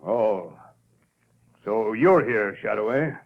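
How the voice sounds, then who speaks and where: Tough, husky police commissioner. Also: male voice, on the low side, expressive, slow, full character voice. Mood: gruff, suspicious. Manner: barking, tough, demanding. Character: gruff, bossy police commissioner in gangster raid. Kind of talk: 1930s radio crime drama acting. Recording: dull, lo-fi old radio recording.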